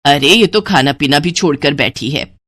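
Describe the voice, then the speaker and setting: A woman's voice: deep voice, normal speed, fairly bright tone, dry recording, pitched below the performer's own voice. A woman playing a grumbling, sarcastic middle-aged mother in an audio story. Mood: exasperated. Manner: scolding, sarcastic.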